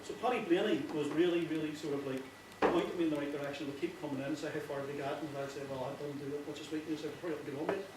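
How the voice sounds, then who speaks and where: Northern irish guy doing an informal speech, slightly high voice, stops and restarts, distant and noisy. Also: fairly even intonation, racing. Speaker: playwright giving a thank-you speech. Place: echoey indoor hall before an audience. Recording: very echoey, distant, noisy with clicks.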